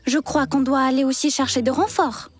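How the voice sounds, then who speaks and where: A woman voicing a kind, thoughtful young girl who reasons through problems gently in a dubbed cartoon. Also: high-pitched, fairly even intonation, a little fast, lightly acted. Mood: caring. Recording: cartoon music score behind.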